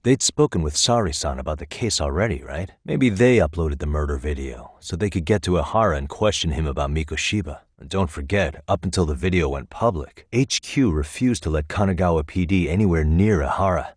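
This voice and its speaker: An American man voicing a level-headed, thoughtful detective in a video game, with measured, articulate delivery as he reasons aloud. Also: low-pitched, breathy edge, dry recording, clean studio sound. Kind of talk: scripted game dialogue.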